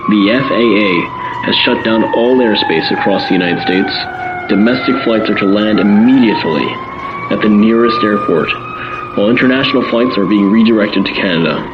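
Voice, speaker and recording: Male actor as news reporter, low voice, serious, formal, muffled broadcast, audio drama. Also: natural voice. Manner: measured, formal. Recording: muffled broadcast, sirens and noise behind.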